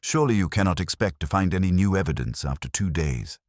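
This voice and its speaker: A man's voice: low-pitched, lively intonation, quick pace, darkish tone, no echo, clean studio sound, pitched above the performer's own voice. A man voicing a detective's loyal, questioning male companion, polite and mildly doubting, in an audiobook. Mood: surprised, doubting.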